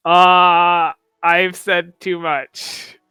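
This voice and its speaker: A young man playing a tabletop roleplaying game, speaking in character in a halting, stammering way. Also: high-pitched, little pitch movement, sharp tone, clean tone, no room sound, soft background music. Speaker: player.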